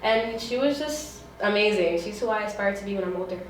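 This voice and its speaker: A woman's voice: medium pitch, animated, a little fast. A young woman talking casually and expressively as an interview guest. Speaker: interview guest, stage actor. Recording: very echoey room, distant mic, faint noise.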